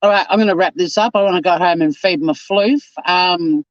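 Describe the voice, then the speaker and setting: A man's voice: high-pitched, fairly quick, dry recording, dull audio. Chatty man in his fifties. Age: 50s. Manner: chatty, reads viewer comments aloud and answers them. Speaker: live stream host. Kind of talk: casual live stream chat. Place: home.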